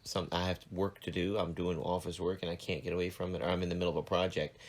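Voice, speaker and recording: A man's voice: low-pitched, flat delivery, fast talker. A man in his forties hosting a casual podcast chat, a bit nasal from allergies, joking and self-deprecating. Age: 40s. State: stuffed up, slightly nasal from allergies. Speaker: podcast host.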